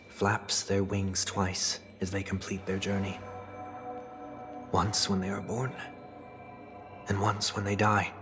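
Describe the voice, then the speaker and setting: Casting: soft-spoken fallen idealist, young, lowish voice, wistful and poetic game drama, clear music underneath. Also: male voice. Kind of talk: dramatic video game cutscene acting.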